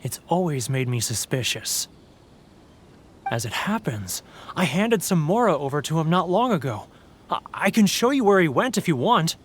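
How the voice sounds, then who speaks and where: An actor playing a homesick, guilt-ridden, weak-willed merchant in a video game, with a theatrical, anguished delivery. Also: male voice, on the high side, very animated, normal speed, noisy background. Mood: confessing.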